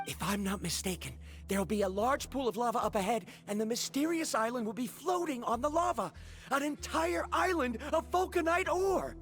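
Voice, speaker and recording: A woman playing a proud, excitable scholar in a video game, with formal, theatrical phrasing. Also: fairly high pitch, moderate pace, faint music behind, lightly acted. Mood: elated. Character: eager, self-assured scholar of ores, proud and excitable. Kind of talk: English video game voice acting.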